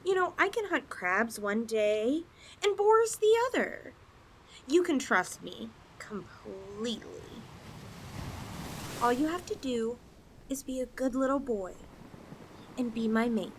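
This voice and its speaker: A woman's voice: a little high, sing-song, takes it slowly, long pauses, slight background noise, exaggerated voice. A woman voicing a possessive, flirtatious lamia in a roleplay ASMR style, coaxing her chosen mate with sweet condescension. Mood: coaxing, confident.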